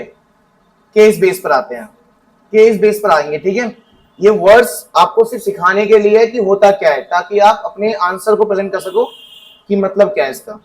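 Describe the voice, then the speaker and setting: Male teacher, high voice, quizzes the class and answers himself. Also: rough recording. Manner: asks students questions and answers them himself; reads definitions from the book slowly. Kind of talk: classroom economics lesson.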